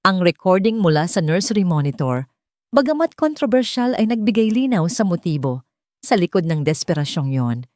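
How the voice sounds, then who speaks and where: A woman voicing a calm, authoritative female judge in an audio story, measured, formal and firm. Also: lowish voice, no echo, clean studio sound. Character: calm, authoritative female family-court judge delivering a verdict.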